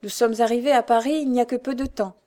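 Storybook heroine: female reader as a sorrowful young blind girl, timid and plaintive, slightly raised pitch. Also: fairly even intonation, quick pace, warm tone, natural voice. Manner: plaintive, polite, hesitant.

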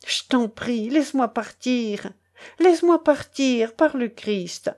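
A woman's voice: a little high, expressive, slow, darkish tone. A woman voicing a frightened, pious young peasant man in an audiobook, pleading and lamenting in despair. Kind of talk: dramatic audiobook character voice.

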